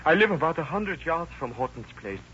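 A rustic, plain-spoken farmer in a vintage radio drama. His voice is bright and fairly high, his manner matter-of-fact. The recording is lo-fi. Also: very animated, fairly quick, soft background music. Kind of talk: 1930s radio drama acting.

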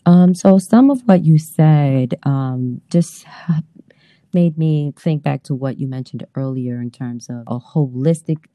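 A woman's voice: rumbling low, unhurried, dark tone, dry recording. A woman interviewer asking thoughtful questions and affirming her guest attentively. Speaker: interviewer, host. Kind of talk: casual interview conversation.

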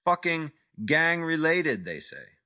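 A man's voice: medium pitch, expressive, moderate pace, a bit bright, voice pushed up, lightly acted. A man voicing a menacing male crime boss who speaks clipped, broken English.

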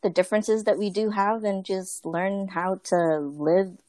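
A woman's voice: medium pitch, steady tone, a little slow, mellow, dry recording. A woman in a casual group conversation, talking earnestly with many fillers and restarts. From Filipino family.

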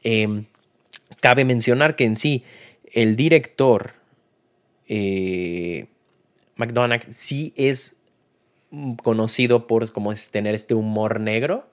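A man with a Mexican accent and a slightly low-pitched voice hosts a film review. He talks casually and without a script, in colloquial, conversational phrasing. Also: takes it slowly, long pauses. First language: Spanish. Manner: conversational, colloquial, retells scenes with acted-out quotes. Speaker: film podcast host and reviewer.

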